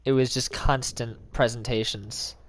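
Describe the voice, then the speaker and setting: A man's voice: mid-range voice, fairly even intonation, unhurried. A young man streamer chatting casually in a rambling, jokey way with lots of fillers. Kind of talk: casual chat.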